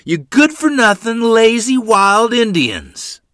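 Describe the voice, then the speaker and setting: A man's voice: very high-pitched, very animated, slow, bright, forceful tone, no room sound, studio quality, voice pushed up, exaggerated voice. A man voicing an enraged rural father, growling and roaring as he scolds his children in a dramatic story. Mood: furious.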